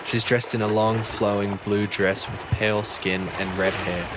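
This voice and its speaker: A man's voice: lowish voice, level tone, measured pace, noisy background, close to an everyday voice. A man playing an earnest, bewildered fisheries officer who narrates his own story in an audio drama. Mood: hushed wonder, recounting.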